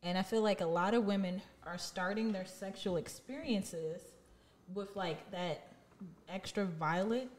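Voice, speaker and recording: A woman's voice: medium pitch, measured pace. A woman co-hosting a podcast, asking questions in a blunt, joking casual chat. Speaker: podcast co-host.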